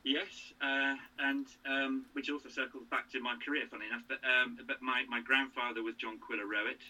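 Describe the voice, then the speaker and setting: A man in his sixties from England, a guest in a casual interview, who talks haltingly with many fillers and restarts. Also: medium pitch, level tone, bright, forceful tone, poor audio.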